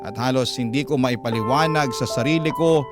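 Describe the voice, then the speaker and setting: A man's voice: mid-range voice, a little fast. A man with a Filipino accent reading a listener's story as a radio storyteller, in solemn, heartfelt first-person narration. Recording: loud piano music under the voice. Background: piano music.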